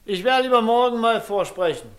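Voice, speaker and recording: A man's voice: very high voice, lively intonation, brisk, a bit bright. An actor playing a chatty, self-pleased village servant man in a radio play, with a dialect. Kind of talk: naturalistic German radio play acting in dialect.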